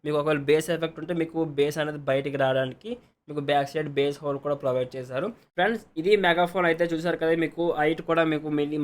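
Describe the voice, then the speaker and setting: A man giving a casual, step-by-step product review, repeating set phrases and mixing in English words. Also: a little high. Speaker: product reviewer, channel host. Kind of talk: casual unboxing and review narration.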